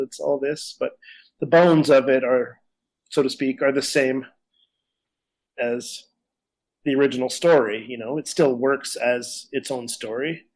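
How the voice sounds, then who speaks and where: A man's voice: medium pitch, rough recording. A man co-hosting a podcast, talking casually in a rambling, opinionated way. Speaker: podcast co-host. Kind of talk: casual conversational discussion.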